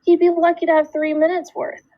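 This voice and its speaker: A woman teacher reading a children's book aloud, with expressive storytelling and deliberate phrasing. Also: very high voice, dry recording, dull audio. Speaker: second grade teacher.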